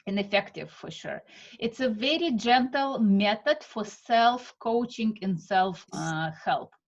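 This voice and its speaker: Slow-talking Russian woman teaching online. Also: medium pitch, expressive, lo-fi sound. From Siberia, Russia. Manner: halting, many fillers, warm welcomes. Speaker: art method instructor. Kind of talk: live online class introduction. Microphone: video call.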